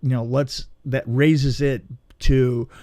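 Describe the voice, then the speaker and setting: A man telling a story casually on a podcast, in a halting, rambling way that often restarts mid-sentence. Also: mid-range voice, level tone, mellow, no room sound, studio quality. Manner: halting, rambling, self-interrupting delivery. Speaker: film special effects technician telling a story.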